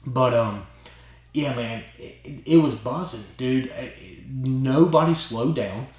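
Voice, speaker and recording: A man hosting his own channel tells a long story in a casual, excited way, rambling and often tagging lines with "right?" and "man". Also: mid-range voice, slow. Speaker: channel host. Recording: roomy echo.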